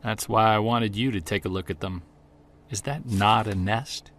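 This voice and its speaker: An actor playing an earnest, driven middle-aged investigator in an audio drama, speaking intently. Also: male voice, fairly low pitch, normal speed, fairly bright tone, natural voice. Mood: quietly excited. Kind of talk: audio drama narration and dialogue.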